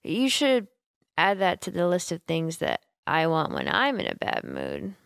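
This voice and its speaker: A woman plays an affectionate young female roommate in an ASMR comfort roleplay. She talks slowly and gently, with plenty of creak and lively swings of pitch, teasing and a little sleepy, very close to the mic. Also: dry recording, full character voice. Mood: affectionate, drowsy. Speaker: roleplay voice actor. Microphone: close microphone, dry.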